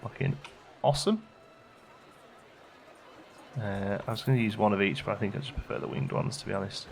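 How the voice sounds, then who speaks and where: Low, gravelly man commentating a game. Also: a little fast, noisy background. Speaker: let's play video host. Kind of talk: casual gameplay commentary, thinking aloud.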